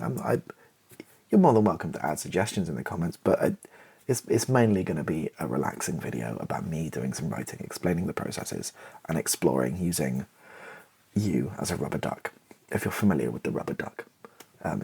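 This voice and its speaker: A man chatting in a relaxed ASMR style, rambling and self-deprecating with a wry touch, his voice husky and creaky. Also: low-pitched. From Britain. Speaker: ASMR YouTuber and playwright. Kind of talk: relaxed ASMR-style casual chat to camera.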